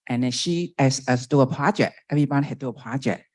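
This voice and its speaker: A woman in her sixties from Taiwan with a Taiwanese accent, giving a halting, personal remembrance at a memorial and searching for her words as she goes. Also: extremely low pitch, darkish tone. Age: 60s. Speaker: former doctoral student speaking at a memorial.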